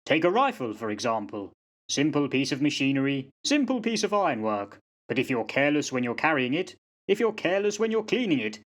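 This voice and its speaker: A seasoned old soldier, played by a man in a radio play, with a medium-pitched voice. He is gruff yet courteous, a portentous storyteller who leans on his phrases for emphasis. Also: fairly bright tone, natural voice.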